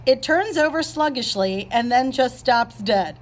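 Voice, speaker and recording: A woman's voice: high voice, lively intonation, measured pace. A woman narrator reading scripted stage directions aloud.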